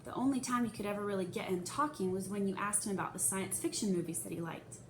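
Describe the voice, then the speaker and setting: Echoey, expressive woman reading a story aloud. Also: medium pitch, fast. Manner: expressive, dramatizes the young narrator's feelings and dialogue. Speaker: reader of a children's novel. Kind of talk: read-aloud storytelling, first-person narration. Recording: echoey room with faint hiss.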